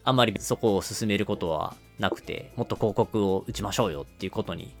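Man, low creaky voice, lively intonation, podcast co-host, conversational explainer, long connected runs. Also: fairly quick, soft background music.

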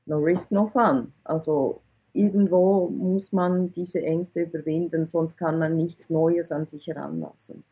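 A woman's voice: lowish voice, fairly even intonation, a little slow, dark tone, husky, rough recording. A woman, from Iran, answering interview questions in a candid way and often closing a thought with a questioning tag. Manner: candid, repeats words when searching, ends points with "oder?". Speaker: IT recruitment consultant.

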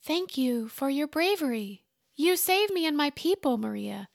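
A woman voicing a captive mermaid mother who pleads in high, frantic cries, from a storybook. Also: lively intonation, a little slow, vocal fry, dry recording, clean studio sound, heavily acted. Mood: grateful.

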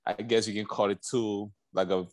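Deep-voiced liberian-born guy in his 40s, thoughtful, lots of fillers, dry close sound. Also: flat delivery, moderate pace, a little mellow, dry recording. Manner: reflective, many fillers like "like" and "you know". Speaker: guest. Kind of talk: casual interview conversation.

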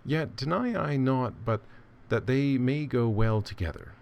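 A man reading a literary essay aloud with measured, deliberate phrasing. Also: medium pitch, animated, creaky, a little mellow. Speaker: reader.

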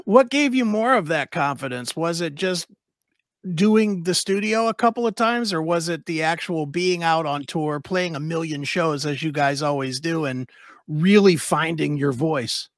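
A man's voice: fairly high pitch, dry recording. A man hosting a casual interview, his voice close and dry with no room around it. He stumbles a little through his questions and otherwise answers in short agreeing words. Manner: brief backchannel replies, halting questions. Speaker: interview host.

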